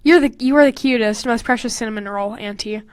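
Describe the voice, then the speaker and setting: A young woman co-hosting a casual podcast, animated and sweary, with self-mocking asides. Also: fairly high pitch, fast talker, smooth, no echo, studio quality. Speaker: podcast co-host. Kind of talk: casual podcast chat, reading old fan fiction aloud with mocking commentary.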